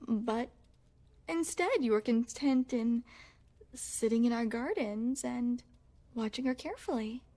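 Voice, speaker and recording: Woman acting a slow-spoken, high-voiced young princess. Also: dark tone. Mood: fond, amused. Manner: formal, poised, genteel storytelling with coy amusement. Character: young crown princess, refined, playful, secretly possessive. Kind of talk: ASMR roleplay, scripted, addressed to the listener.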